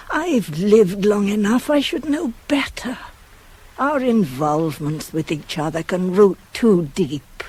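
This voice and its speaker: A woman's voice: low voice, slight background noise, heavily acted, rough recording. An actress playing a devoted, worldly-wise older nurse to a queen in a radio Greek tragedy, theatrical and insistent. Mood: weary, tender. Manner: theatrical, insistent, measured, weighty phrasing. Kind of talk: 1980s radio drama acting of Greek tragedy.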